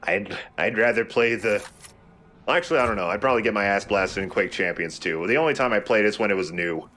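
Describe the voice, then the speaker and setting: A man hosts a let's play in a fairly low voice. He talks casually and rambles along in a laid-back way. Game noise is clearly audible behind him. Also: brisk, sharp tone. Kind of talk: casual gameplay commentary.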